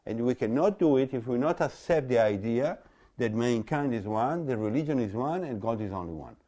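A man with an Italian accent and native Italian, giving an explanatory lecture in a halting, repetitive way with rhetorical questions. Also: mid-range voice, expressive, mellow. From Italy. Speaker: religious lecturer. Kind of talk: explanatory talk to an audience.

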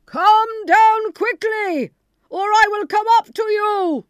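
A cruel, threatening nobleman, as a woman puts him on, drawing out his words slowly and loudly. The voice is raised, and the recording is dry and close. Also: fairly high pitch, animated, no room sound. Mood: furious. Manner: slow, loud, threatening. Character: cruel menacing nobleman husband, male.

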